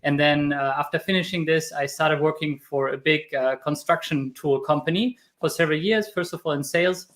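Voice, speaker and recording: A man in his thirties with a German accent, talking casually as an interview guest and telling stories in an animated way. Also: a little high, normal speed, hardly any pauses. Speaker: podcast guest, crypto YouTuber.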